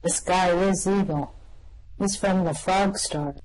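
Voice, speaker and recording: A woman's voice: lowish voice, takes it slowly, darkish tone, low-quality recording. A woman voicing an anxious, grim male alien fugitive who gives tense, ominous warnings, in an amateur radio play reading.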